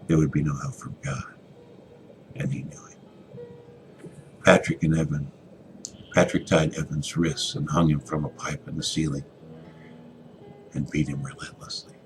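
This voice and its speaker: Very deep gravelly man reading dramatically. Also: flat delivery, normal speed, long pauses, faint music behind, low-quality recording. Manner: theatrical, shifting between hushed and forceful. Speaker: author reading a short story.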